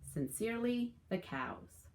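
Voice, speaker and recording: Lady reading as cows from a kids book, polite but firm, slow and formal like a letter. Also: a little high, sing-song, darkish tone, slight background noise, pitched above the performer's own voice, heavily acted.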